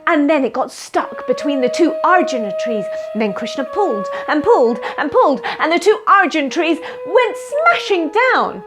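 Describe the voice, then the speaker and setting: A woman voicing excited little children in a children's story, explaining eagerly and emphatically. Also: high-pitched, normal speed, hardly any pauses, music in the background, pitched above the performer's own voice. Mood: excited, earnest. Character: excited little children eagerly reporting what they saw.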